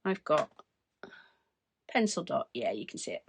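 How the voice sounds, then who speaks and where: A woman in her forties, hoarse from losing her voice, chatting through a craft demonstration at her desk. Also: low voice, big pitch swings, a little slow, a little hiss or hum. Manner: chatty, explains as she works. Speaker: craft tutorial host. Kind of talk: casual step-by-step crafting demonstration. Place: craft desk indoors, overhead camera.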